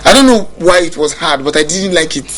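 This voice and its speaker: Reflective Nigerian man, a little high. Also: expressive, a little fast, a bit bright, soft background music, poor audio. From Nigeria (Bayelsa State). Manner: reflective, often restarts sentences, laughs easily. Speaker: musician guest. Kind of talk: casual interview talk.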